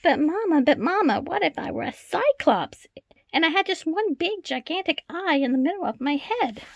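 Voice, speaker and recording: A woman's voice: very high voice, normal speed, creaky, dry recording, highs cut off, pitched above the performer's own voice, full character voice. A woman voicing an eager, pleading young child in a storybook readaloud. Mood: excited, curious. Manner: pleading, excited. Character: eager young child questioning Mama with wild what-ifs. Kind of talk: storybook character voice.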